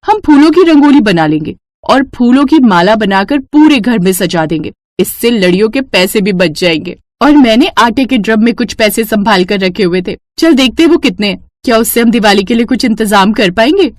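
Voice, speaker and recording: A woman's voice: on the high side, animated, moderate pace, few pauses, dry recording, natural voice. A woman voicing a resourceful young daughter-in-law in a cartoon story, eager, respectful and reassuring. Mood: eager planning.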